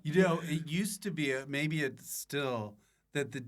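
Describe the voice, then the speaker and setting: A man hosting a podcast in casual chat, speaking in a halting, easygoing way. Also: on the high side, animated. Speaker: podcast host.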